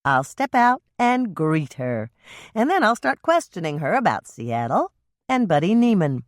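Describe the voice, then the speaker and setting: A determined woman sleuth with a dry, steely edge , read by a woman narrating a storybook. She talks calmly and firmly in a lowish voice. The sound is dry and close to the mic. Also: measured pace, dry recording.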